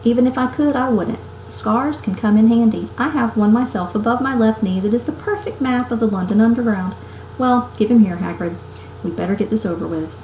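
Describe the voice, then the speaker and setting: A woman voicing a wise old male wizard headmaster in a storybook, calm, firm and measured. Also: on the high side, reverberant, slight background noise, close to an everyday voice, rough recording. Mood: resigned. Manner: measured, grave, assured.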